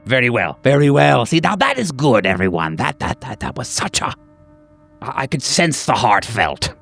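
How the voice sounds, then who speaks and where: A man voicing a fawning, sinisterly earnest group therapy doctor in a tabletop game, halting and repeating himself emphatically with nervous chuckles. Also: mid-range voice, sing-song, vocal fry, fairly bright tone, voice pushed up, heavily acted. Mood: agitated, effusive. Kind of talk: theatrical group-session address.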